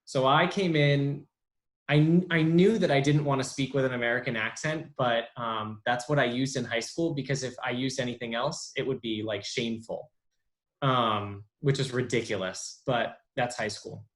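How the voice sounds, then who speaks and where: A young adult man as an interview guest, talking casually with many pauses and restarts. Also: mid-range voice. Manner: halting, lots of ums and restarts. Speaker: interview guest, bilingual speech therapist.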